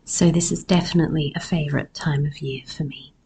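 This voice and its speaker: Woman podcaster w a low hoarse husky voice, lots of creak, reflective solo talk. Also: fairly even intonation, brisk, mellow, a little hiss or hum. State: hoarse after losing voice. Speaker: podcast host. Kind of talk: personal solo podcast talk about herbs.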